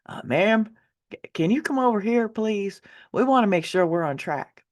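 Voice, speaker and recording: A polite amateur astrologer as a woman narrator puts him on in a memoir audiobook. He speaks haltingly and hesitantly, in a raised pitch. He sounds like a courteous man unsure of his reading. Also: expressive, a little mellow, natural voice.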